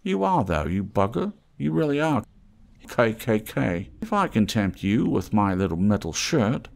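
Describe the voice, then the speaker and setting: A man voicing an eccentric old tramp trader in an audiobook, wheedling in excitable, salty, rambling patter. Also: lowish voice, a little slow, vocal fry, voice pushed up, heavily acted.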